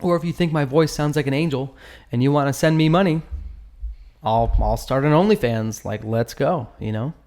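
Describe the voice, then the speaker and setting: Guy doing casual game commentary, normal pitch, slangy and jokey, breaking down battle stuff for his channel. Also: moderate pace, a little mellow. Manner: informal, jokey, slangy asides. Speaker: host of a gaming channel. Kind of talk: casual gameplay commentary, analysing a battle report.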